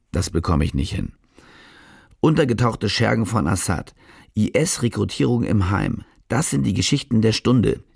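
A man's voice: fairly low pitch, creaky, husky, full character voice. An actor playing a cynical, foul-mouthed war reporter in a modern radio drama, switching between German and English. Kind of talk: modern radio drama, natural dialogue and narration.

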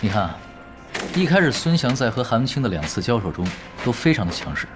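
A man's voice: medium pitch, fast, close to an everyday voice. A man playing a laid-back young esports pro in an audio drama, explaining like an expert and teasing in a nonchalant way. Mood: dryly teasing. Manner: relaxed, nonchalant, analytical. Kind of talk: audio drama acting, conversational. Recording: music behind the voice.

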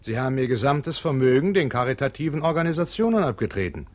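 A man's voice: mid-range voice, sing-song, fast talker, natural voice. A man playing an exasperated, defensive business adviser in a radio drama. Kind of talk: radio play acting.

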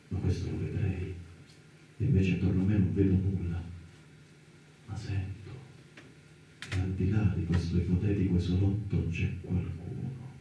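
An actor playing a confused man trapped in darkness, speaking in halting, questioning radio theatre style. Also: low-pitched, mellow, close to an everyday voice. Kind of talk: Italian radio theatre monologue and dialogue. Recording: very echoey, distant, noisy radio drama recording.

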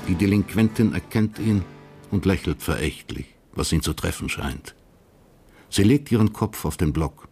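A man narrating a period crime radio drama in a sober, measured, literary style. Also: deep voice, animated, darkish tone, soft background music.

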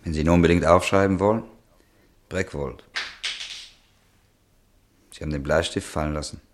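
A man's voice: deep voice, normal speed, slight background noise, lightly acted. An actor playing an eerie, menacing male stranger in a radio play, speaking in a curt, controlled way. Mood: curt menace. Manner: terse, ominous, composed. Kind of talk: German radio play acting.

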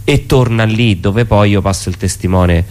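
A man with a very deep voice talks as an artist invited to present his work. He explains it informally, correcting himself and pausing to find the next phrase. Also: darkish tone. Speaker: performance artist, interview guest. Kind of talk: informal spoken presentation of own show. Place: radio studio.

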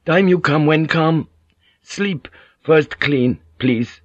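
A man's voice: fairly high pitch, slow, dry recording, lo-fi sound, pitched above the performer's own voice. A man voicing a polite but firm Japanese village headman in an audiobook, with a Japanese accent and clipped, broken English phrases. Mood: polite, halting.

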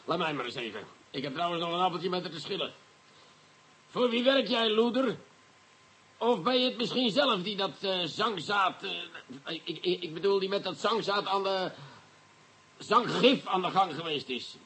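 High-pitched, blustering, flustered police commissioner. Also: male voice, moderate pace, breathy edge, low-quality recording. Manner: stammering, blustering, excitable. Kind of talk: Dutch radio play acting.

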